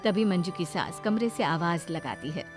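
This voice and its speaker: A woman's voice: lowish voice, expressive, moderate pace, soft background music. A woman storyteller reading scripted narration for a cartoon bedtime story.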